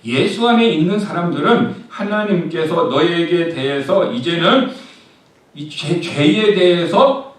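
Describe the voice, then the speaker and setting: Male pastor, higher voice, sermon with questions put to the pews, recorded distant in a very echoey church hall. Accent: Korean. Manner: asks the congregation rhetorical questions and answers them himself. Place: church sanctuary.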